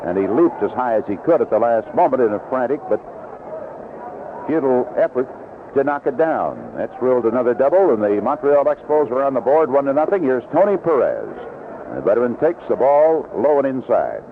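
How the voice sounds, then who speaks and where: A man working as a play-by-play announcer, giving live 1970s baseball radio commentary, unhurried in description and more animated on the action. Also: medium pitch. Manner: unhurried description between plays, rising excitedly on action. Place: outdoor ballpark broadcast booth. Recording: noisy, tinny vintage AM radio broadcast.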